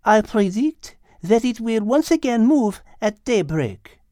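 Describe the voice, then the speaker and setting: A man's voice: high voice, very animated, mellow, no echo, pitched above the performer's own voice. Audiobook role: tactful, learned French lady cat, male reader, formal phrasing, very melodic, close dry sound. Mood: composed, reasoning. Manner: precise, formal, measured wording. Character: refined, knowledgeable, tactful female French cat. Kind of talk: audiobook character acting.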